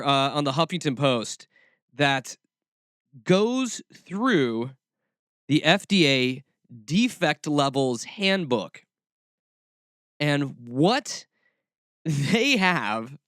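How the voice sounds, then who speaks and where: A man's voice: mid-range voice, a bit bright, dry recording, studio quality. A man hosting a talk show, giving casual commentary peppered with wry, sarcastic asides. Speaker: podcast host.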